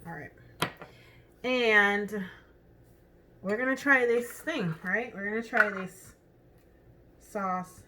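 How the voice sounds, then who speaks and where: A woman's voice: mid-range voice, slow pace, long pauses, pushed and bright, slight background noise. A woman with a Jamaican accent chatting casually through a cooking demonstration in her home kitchen, thinking aloud with lots of ums and restarts. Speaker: cooking vlogger.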